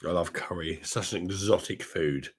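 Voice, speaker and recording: A man hosting a live stream, chatting with his viewers in a rambling, crude way full of swearing, his voice hoarse because he is ill. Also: deep voice, normal speed. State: hoarse, unwell. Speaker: livestream host reading chat. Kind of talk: casual crude drinking chat.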